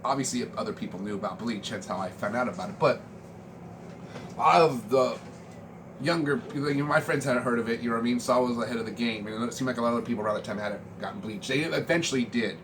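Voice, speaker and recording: A middle-aged American man with an average-pitched voice rambles casually, like a vlogger talking through a collection. His delivery is laid-back and slangy, wandering from one thought to the next. Clear background noise sits behind his voice. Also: fast. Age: 40s. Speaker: vlogger showing a music collection. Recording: clearly noisy room ambience.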